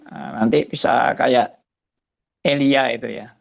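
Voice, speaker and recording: A pastor in his seventies giving a slow, musing spoken testimony, often tagging his phrases with a soft "ya". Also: medium pitch, fairly even intonation, creaky, highs cut off. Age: 70s.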